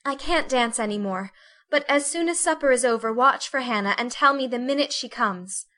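Woman reading as a genteel young lady, higher and brighter than the narration, soft and plaintive, storybook reading. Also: moderate pace. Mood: fretful.

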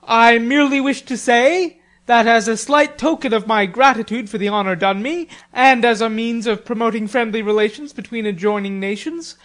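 A woman's voice: fairly high pitch, moderate pace, hardly any pauses, voice pushed up, close to an everyday voice. A woman reading a charming teenage boy in a storybook, mock-humble, with an ornate, engaging manner. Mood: grandly formal.

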